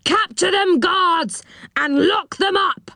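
A woman's voice: sits high, lively intonation, slow, vocal fry, no echo, studio quality, pitched above the performer's own voice, full character voice. A woman voicing an imperious, suspicious male enchanter in a storybook, with a commanding manner. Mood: angry commanding. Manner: loud, commanding.

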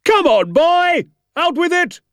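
A man voicing a bullying, contemptuous military schoolmaster with a menacing, drawn-out, emphatic delivery in an audiobook story. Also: very high voice, sing-song, fairly bright tone, dry recording, studio quality, pitched above the performer's own voice, exaggerated voice. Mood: furious. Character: furious bullying schoolmaster, old military captain, barking contemptuously.

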